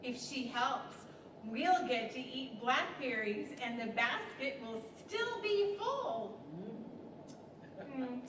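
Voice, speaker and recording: A woman playing a wary, grudging young rabbit sister with a reproving, deliberate manner, in radio-play style. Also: sits high, expressive. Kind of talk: 1940s radio-style stage acting. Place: library event room, indoors, live stage. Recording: roomy, distant, clearly noisy.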